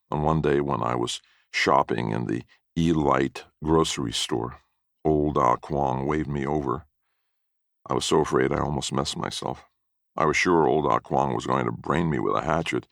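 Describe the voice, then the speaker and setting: A man's voice: very deep voice, gravelly, no echo, studio quality. A man narrating a first-person short story expressively in a folksy storytelling manner.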